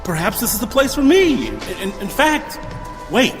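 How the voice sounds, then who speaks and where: A man's voice: extremely high pitch, very animated, moderate pace. A man playing an anxious, self-doubting former mayor awaiting judgment in an audio drama, earnest and often stammering. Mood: puzzled wonder. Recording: long hall reverb with clear music behind.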